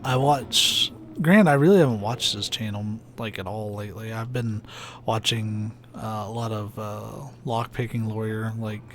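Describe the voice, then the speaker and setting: A young man with a soft, soothing voice, chatting casually and rambling while he plays a video game on stream. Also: fairly low pitch, a little slow. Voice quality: soft, soothing, sleepy-sounding voice. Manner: rambling, laid-back, many "like"s and "uh"s. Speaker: let's play streamer. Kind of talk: casual commentary while gaming. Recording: steady background noise hiss.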